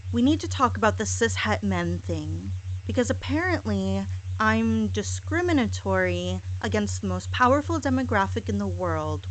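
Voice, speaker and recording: A woman delivering a scripted satirical apology monologue, sarcastic and mock-contrite, with deliberate dramatic pauses and emphatic repetition. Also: mid-range voice, rough recording. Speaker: hypnosis audio creator.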